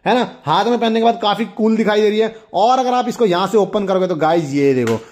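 High-pitched, enthusiastic young man. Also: fairly quick, darkish tone. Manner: enthusiastic, repetitive, exclaiming. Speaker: toy review host. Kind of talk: casual product demonstration to viewers.

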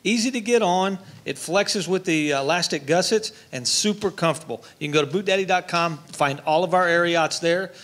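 A middle-aged man giving a brisk product pitch for boots on a studio talk set. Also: fairly bright tone. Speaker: boot retailer. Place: diner-style TV studio set with audience.

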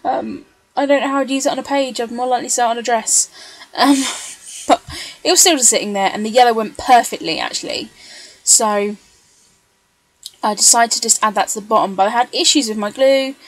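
Female craft vlogger, slightly high voice, casual rambling tutorial narration. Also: fairly quick, dry recording, rough recording. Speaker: scrapbooker narrating craft video.

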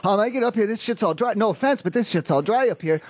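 Prank caller, male, high fast voice, rambling and stammering, feigning innocence, muffled lo-fi audio. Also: lively intonation, no echo. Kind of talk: prank phone call.

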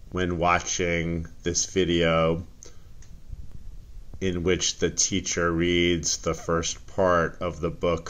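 A man explaining an assignment as a teacher, in a deliberate, careful manner. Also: sits low, fairly bright tone. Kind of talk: instructional screen explanation for students.

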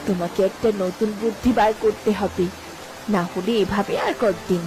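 A woman's voice: medium pitch, fairly even intonation, normal speed, close to an everyday voice, low-quality recording. A woman voicing a bossy but concerned village wife and mother in a cartoon, with an exclamatory way of talking. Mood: concerned, advising. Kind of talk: Bengali cartoon voice acting.

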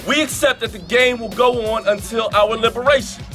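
A man's voice: very high-pitched, a little fast, bright, forceful tone, faint music behind. A man speaking and reading emphatically to a gathering outdoors, in the declamatory manner of a preacher. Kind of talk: impassioned public address and declamatory reading. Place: outdoors in a park by a statue. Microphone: camera a few metres away.

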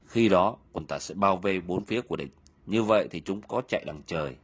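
A man voicing a stern medieval army general in an animated historical film, firm and authoritative. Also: low-pitched, a little fast, a little hiss or hum, lightly acted. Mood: confident.

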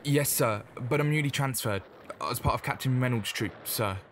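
A man's voice: moderate pace, natural voice. Frightened young wounded private, acted by a man, over artillery. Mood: scared, deferential. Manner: pleading, deferential to his officer. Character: frightened eighteen-year-old wounded First World War private. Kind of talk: audio drama acting. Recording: wartime scene, loud artillery rumble behind. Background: artillery fire and battlefield ambience.

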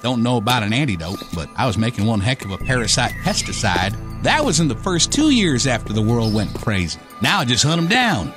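A man's voice: medium pitch, big pitch swings, a little fast, few pauses, music in the background, close to an everyday voice. An actor playing a cocky, whiskey-drinking American redneck survivor, telling his story in folksy slang in a radio play. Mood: wry, anxious. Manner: folksy, slangy drawling wisecracks. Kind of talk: radio play monologue narration.

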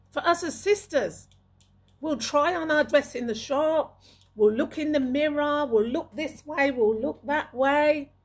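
A middle-aged woman talking to camera as a video host, giving emphatic advice and repeating phrases for weight, close on a studio microphone. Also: high-pitched. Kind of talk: advisory talk to camera. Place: small indoor room. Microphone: large studio microphone with pop filter, close.

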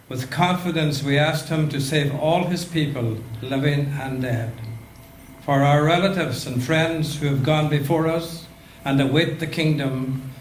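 An older Irish clergyman leading a measured liturgical prayer. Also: fairly high pitch. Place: stone hall, at a lectern. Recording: echoey hall, faint background noise.

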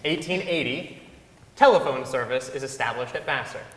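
A man's voice: mid-range voice, moderate pace, fairly bright tone, slight background noise. A man giving a dramatic reading and narration on the stage of a large concert hall. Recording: echoey concert hall, long reverb.

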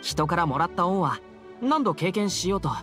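A man's voice: high voice, animated, moderate pace, a bit bright. An actor playing an upbeat, sincere teenage boy adventurer in a Japanese anime game, speaking in a hearty, boyish way. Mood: grateful, earnest. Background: background game music.

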